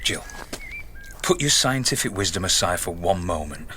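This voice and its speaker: An actor playing a concerned, cautioning research colleague in a radio drama, earnest and emphatic. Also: male voice, deep voice, very animated, moderate pace, bright, forceful tone, a little hiss or hum, heavily acted. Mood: earnest warning.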